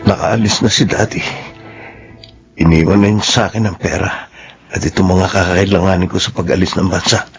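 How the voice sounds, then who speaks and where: An actor playing a callous young villain in a Filipino radio melodrama, sneering and gravelly. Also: male voice, sits low, fairly even intonation, faint music behind. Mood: smug. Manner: gloating, sneering, unhurried. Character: smug, callous young villain fleeing the law. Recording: dull, lo-fi sound.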